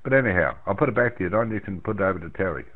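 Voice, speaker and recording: A man's voice: low voice, animated, racing. An older Australian man chatting casually over amateur radio in a rambling, plain-spoken way, repeating himself as he goes. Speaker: amateur radio operator in a round-table chat. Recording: narrow, tinny radio transmission.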